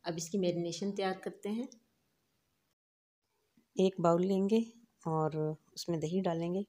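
A woman hosting a home cooking channel, giving calm, instructive step-by-step recipe narration. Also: lowish voice, unhurried. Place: home kitchen.

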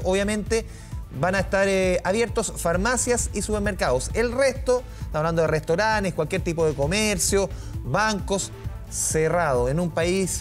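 A man anchors live television news in a high, light voice. He talks conversationally and circles back over his points as he goes. Faint music sits under the studio sound. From Chile. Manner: conversational, repeats and restates points.

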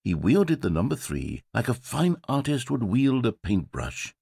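A man's voice: mid-range voice, very animated, a little slow, vocal fry, a little mellow, breathy edge, no room sound, heavily acted. A man voicing a sardonic, theatrical game narrator, recorded in a studio. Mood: wry. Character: sardonic, theatrical male storyteller.

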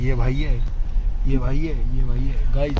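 A man's voice: mid-range voice, flat delivery, unhurried. A man chatting casually to camera as a road-trip vlogger, often repeating phrases. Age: 20s. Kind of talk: casual vlog chat to camera. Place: inside a car and by the roadside. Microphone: handheld phone at arm's length. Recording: noisy phone recording in a car.

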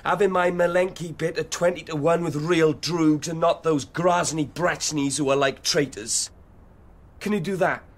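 An actor playing an insolent, volatile teenage street thug in a dystopian radio drama, speaking theatrical slang. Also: male voice, fairly high pitch, steady tone, measured pace. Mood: pleading. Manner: theatrical slang-heavy speech. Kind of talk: radio drama acting and narration.

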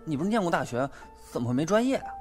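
A man playing a shrewd, bossy young businessman in an audio drama, animated and coaching in manner. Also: on the high side, rapid-fire, soft background music. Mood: casual, gentle. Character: shrewd, bossy, lively young businessman, soft-hearted underneath. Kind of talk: Chinese audio drama acting.

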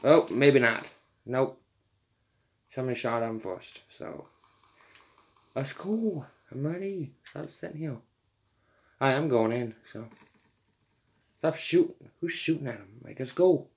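A teenage boy casually commenting while he plays a video game, chatty and quick to laugh and apologize. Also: mid-range voice, animated, darkish tone. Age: teens. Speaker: gamer streamer.

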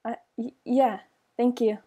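A woman's voice: fairly high pitch, flat delivery, a little slow, mellow, slightly rough. A woman playing a shy, nervous young fire mage in a game roleplay, hesitant, stammering and polite. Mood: grateful, nervous. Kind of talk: amateur roleplay acting in a game video.

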